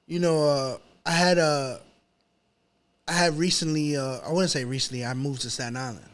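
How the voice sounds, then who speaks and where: A man's voice: on the high side, steady tone, normal speed. A man in his thirties with a New York accent, chatting casually as a rapper on a podcast, hesitant and often saying "you know". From New York City. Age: 30s. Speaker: rapper being interviewed.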